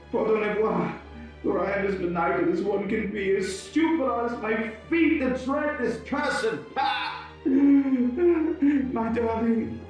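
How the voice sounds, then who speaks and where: An actor playing an anguished, lovesick, mad phantom in a gothic audio drama, pleading in florid, theatrical declamation with French interjections. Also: male voice, sits high. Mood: abject self-loathing, then feverish devotion. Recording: very echoey hall, distant, music behind.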